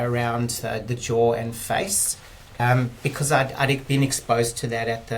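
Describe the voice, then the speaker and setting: A South African man in his fifties, a physiotherapist guest in an interview, explaining in a measured way with frequent ums and restarts. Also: mid-range voice, a little hiss or hum. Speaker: guest, clinic director. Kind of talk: interview talk.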